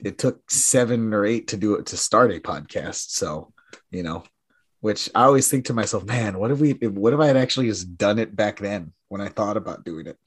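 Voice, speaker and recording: Raspy low-voiced man, rambling podcast chat. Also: normal speed. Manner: rambling, trailing off into mumbles. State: raspy, recovering voice from yelling. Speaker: podcast co-host. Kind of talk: casual chat.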